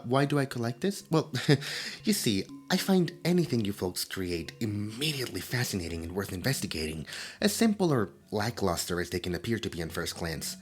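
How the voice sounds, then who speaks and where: Étia, a man playing a rambling demon of time. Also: on the low side. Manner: rambling and self-conscious, full of ums and asides; drops into soft whispers at times. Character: chatty awkward immortal demon summoner of time. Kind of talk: ASMR roleplay.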